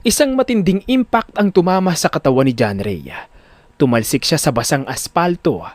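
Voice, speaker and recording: Madamdaming lalaking tagapagsalaysay, pabago-bago ang tono. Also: male voice, fairly high pitch, big pitch swings, normal speed, a little mellow, dry recording. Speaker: narrator. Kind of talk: dramatic scripted story narration.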